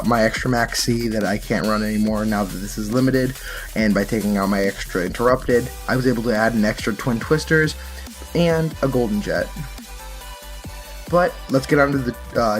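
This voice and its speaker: A man with a middle-pitched voice talks through his card game in a casual, unscripted way. He explains his choices and often corrects himself mid-sentence, with music playing behind him. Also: moderate pace. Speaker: card game channel host. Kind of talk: casual unscripted gameplay and deck commentary.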